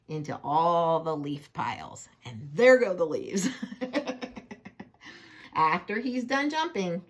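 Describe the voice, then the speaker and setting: A woman tells a picture-book story to children at an unhurried pace. Her voice is husky and swings widely in pitch, and she is playful, often breaking off for chatty asides. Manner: playful, expressive, asks the listener questions.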